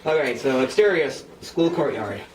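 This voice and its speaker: A man's voice: mid-range voice, sing-song, normal speed. A man in his twenties chatting casually as host, rambling and self-mocking as he explains his own writing. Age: 20s. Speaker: host and scriptwriter presenting old scripts. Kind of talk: casual, giggly group chat. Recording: echoey room, distant mic, background noise.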